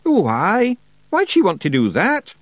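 A man's voice: sits high, huge pitch swings, measured pace, no echo, pitched above the performer's own voice, exaggerated voice. A man voicing a cocky tiny blue fairy clan chief with a broad Scottish accent and a blunt, scornful manner.

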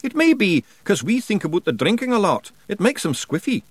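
A wee bossy scots pictsie, squeaky high and bouncy, dry close sound. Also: male voice, very animated, fairly quick, no echo, heavily acted. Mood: bossy, cheerful. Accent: put-on broad Scots. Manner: gruff, bossy, cheerful. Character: tiny fierce blue pictsie warrior man.

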